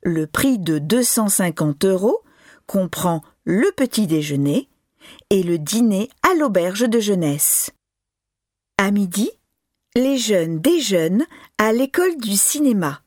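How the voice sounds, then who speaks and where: A woman reading an information notice slowly and clearly as the reader of a language listening exam. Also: mid-range voice, sing-song, darkish tone, dry recording, clean studio sound. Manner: slow, deliberate, clearly articulated.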